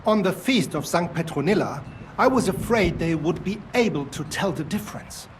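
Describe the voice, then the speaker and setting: An actor playing a nervous, defensive middle-aged ore assayer with a German accent, for a video game. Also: male voice, high voice, expressive, normal speed, a little hiss or hum. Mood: fearful. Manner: defensive, then frightened and confessing.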